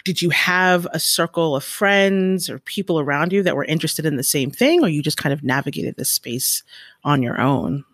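A man's voice: a little high, lively intonation, moderate pace, a bit bright, no echo, clean studio sound. A man interviewing a guest, asking empathetic, probing questions.

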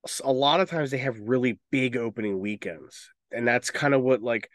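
Male podcast co-host, mid-pitched and closely recorded, opinionated and loose-tongued in casual conversation. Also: moderate pace, a bit bright, no echo, studio quality. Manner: rambling, opinionated, sweary. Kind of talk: casual chat about movies and video games.

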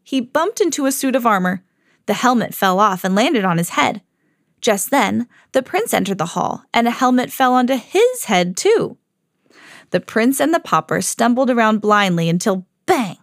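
A mother hosting her own podcast, reading a children's storybook aloud with lively jumps for the quoted lines. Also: on the high side, normal speed, no room sound, studio quality. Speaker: mom reading to her kids, podcast host.

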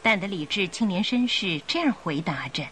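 A woman's voice: medium pitch, lively intonation, normal speed, a bit bright, slight background noise. A woman narrating a scripted radio drama in a theatrical storytelling manner.

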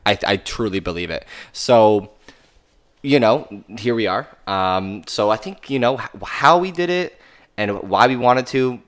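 A man's voice: on the low side, expressive, a little slow. A young adult man co-hosting a podcast in loose, casual conversation. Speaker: podcast co-host.

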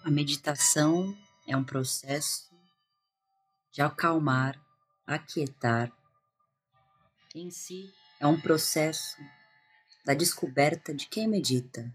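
A Brazilian woman leads a guided meditation in a low, breathy voice. She is calm and hushed and takes her time, leaving long pauses between phrases over music. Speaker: meditation guide, integrative therapist. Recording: music behind the voice.

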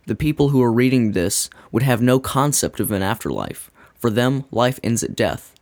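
A man reading a scripted devotional in a measured, earnest way. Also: medium pitch, expressive, a little mellow, no room sound, clean studio sound. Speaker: devotional reader.

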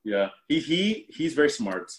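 A man's voice: a little high, very animated, a little slow. A man chatting casually as podcast host, rambling with many fillers and restarts. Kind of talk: casual chat.

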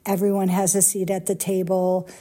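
A woman's voice: mid-range voice, completely flat delivery, normal speed, dark tone. A middle-aged Dutch woman with a Dutch accent hosting a solo podcast, chatting casually and encouraging her listeners directly. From Netherlands. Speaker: podcast host.

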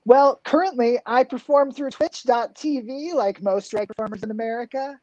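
A woman's voice: a little high, normal speed, warm tone, no room sound, muffled recording. A woman in her thirties, a drag king performer, talking reflectively in a casual interview with lots of fillers and restarts, heard over a video call. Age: 30s.

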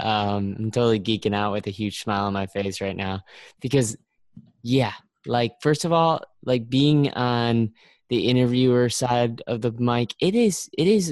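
Male interviewer, medium pitch, dry recording, casual slangy talk full of enthusiasm. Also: moderate pace, no room sound. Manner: gushing, enthusiastic, filler-heavy slang. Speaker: podcast host, interviewer. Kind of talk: casual chat.

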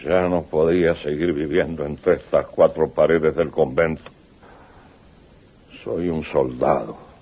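Radio-play exiled commander: very low, muffled husky voice, proud, weary, grave. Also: male voice, fairly even intonation, slow, full character voice. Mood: relieved, earnest. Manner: grave, declamatory. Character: weary, proud aging soldier, exiled leader. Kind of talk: 1980s radio drama acting. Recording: muffled old radio recording.

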